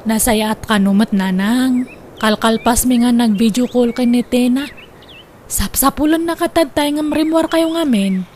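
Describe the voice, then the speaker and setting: A woman playing an excitable, man-hungry older sister in a comedy radio drama, exclaiming theatrically. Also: on the high side, moderate pace, close to an everyday voice. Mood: apologetic, pleading. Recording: faint background noise.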